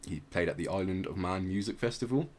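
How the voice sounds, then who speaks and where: A man with a very low voice gives an informal music history talk as a podcast host. His delivery halts and restarts as he feels for the right word. There is clear background noise on the recording. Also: fast talker, darkish tone.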